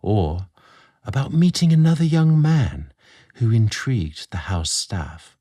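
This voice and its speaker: A man narrating a scripted romantic story in a dramatic, expressive storytelling manner. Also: mid-range voice, a little slow.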